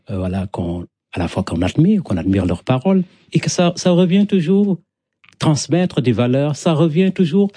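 A man's voice: mid-range voice, warm tone, husky, dry recording, studio quality. A middle-aged man from Senegal with a Senegalese accent, a native Wolof speaker, talking reflectively as a storyteller being interviewed, in poetic, repetitive phrases.

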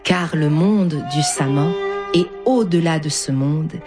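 Slow, low-voiced woman with a Persian accent. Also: music in the background. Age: middle-aged. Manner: slow, thoughtful, many hesitations. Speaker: dancer and whirling dervish guest. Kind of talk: radio interview and poetry reading.

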